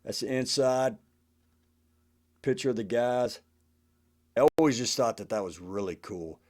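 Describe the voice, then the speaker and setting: A man's voice: mid-range voice, a little slow, a little mellow. A man talking casually to camera as the host of a collection show, in a laid-back, conversational way with "man" and "you know" sprinkled in.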